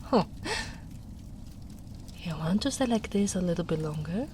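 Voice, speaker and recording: A woman voicing a gentle, protective female ogre in a soft-spoken roleplay, talking in a hushed, half-whispered way. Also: lowish voice, expressive, measured pace, slight background noise. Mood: tender.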